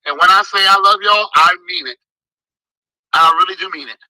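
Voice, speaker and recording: A man with a strong, forceful voice speaking with fiery emotion. His voice sits high for a man and rings very bright. The sound is dry and close. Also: normal speed, no room sound, rough recording. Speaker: political candidate. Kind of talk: impassioned thank-you speech.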